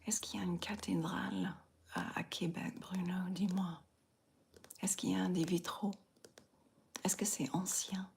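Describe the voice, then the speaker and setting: A woman from the Southern US with a slight Southern accent, softly whispering in an unhurried, drawn-out way as she chats with viewers in an ASMR stream. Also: lowish voice, fairly even intonation, creaky, slight background noise. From Southern United States. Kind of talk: ASMR whispered live chat.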